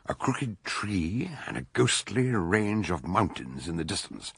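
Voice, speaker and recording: An actor playing a stout, wry doctor detective in a radio drama, theatrical and droll, gravelly and husky. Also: male voice, deep voice, big pitch swings, unhurried, fairly bright tone, no room sound, full character voice. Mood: grave, storytelling. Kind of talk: radio drama acting and storytelling narration.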